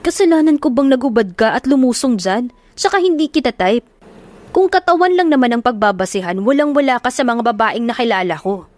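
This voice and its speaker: A woman's voice: on the high side, expressive, fairly quick, a little mellow, no echo, voice pushed up, close to an everyday voice. A woman voicing a cocky, teasing young man who talks in a smug way.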